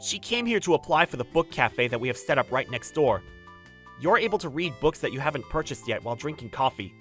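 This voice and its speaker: A woman's voice: a bass voice, sing-song, a little fast, soft background music. A woman voicing an earnest, easily flustered man in his thirties, narrating a romantic comedy manga dub. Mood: matter-of-fact. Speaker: voice actor narrating and playing the male lead.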